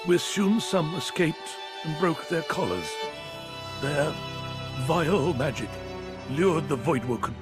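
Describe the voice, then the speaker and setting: A solemn fantasy high judge, formal and grave. His slightly high, expressive voice moves slowly over background music. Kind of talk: scripted letter narration.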